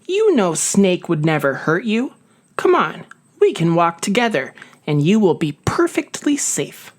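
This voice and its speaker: Woman doing a bossy but kind hedgehog for a kids story, emphatic, swoopy pitch. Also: big pitch swings, a little slow. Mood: insistent. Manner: emphatic, reassuring. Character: sensible, bossy, caring hedgehog friend in a storybook.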